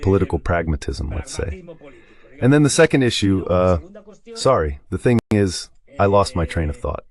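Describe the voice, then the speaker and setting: A man's voice: deep voice, very animated, moderate pace. A man speaking as the translated voice-over of a guest analyst, delivering political commentary.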